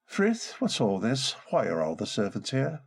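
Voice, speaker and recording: A man's voice: lowish voice, huge pitch swings, normal speed, creaky, slightly rough, heavily acted. A man playing a genial, commanding upper-class master of an estate, courteous and urbane, in an old-time radio drama. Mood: brisk, courteous.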